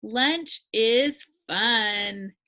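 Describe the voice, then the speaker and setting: An exuberant young shark boy from a storybook, acted out by a woman, high-pitched and bright. He is loud, eager and excitable, stressing his words in a slow sing-song. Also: full character voice. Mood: happy. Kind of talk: storybook character voice.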